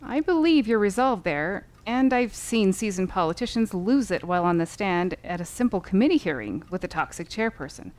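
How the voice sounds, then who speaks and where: A woman playing a brisk, exacting lawyer coaching witnesses in an audio drama, authoritative and instructive. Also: mid-range voice, lively intonation, lightly acted.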